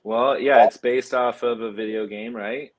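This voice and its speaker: Man, middle pitch, podcast co-host, casual film chat, hesitant delivery with ums. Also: steady tone, measured pace.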